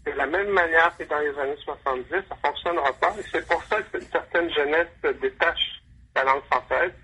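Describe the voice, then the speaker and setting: Man, professor guest, hesitant with restarts, bright tone, husky. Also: on the high side, brisk, poor audio. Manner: hesitant, many restarts and fillers. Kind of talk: radio interview answers.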